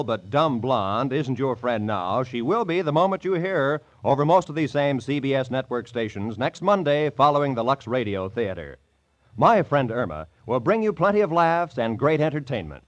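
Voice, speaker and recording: A man's voice: mid-range voice, no room sound, dull audio. An American man working as a network announcer, reading scripted copy in a polished, emphatic 1940s radio style. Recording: dry old radio recording, music behind.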